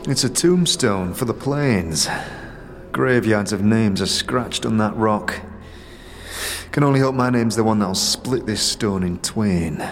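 A man's voice: on the low side, takes it slowly. A man voicing a rueful, plain-spoken working man with slangy talk in a fantasy audio drama. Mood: rueful, gruff. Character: gruff, rueful working man with thick bullish neck.

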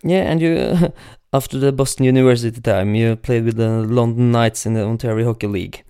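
A man's voice: medium pitch, brisk, dark tone, smooth, no echo, clean studio sound. A man hosting an interview, asking questions hesitantly with many uhs and slightly awkward phrasing. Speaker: interviewer, host.

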